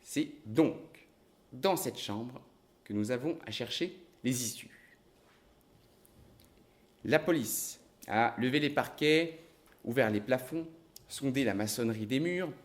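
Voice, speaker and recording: An actor playing a calm, reasoning male detective who speaks in a measured, deliberate way. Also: medium pitch, lightly acted. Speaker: investigator.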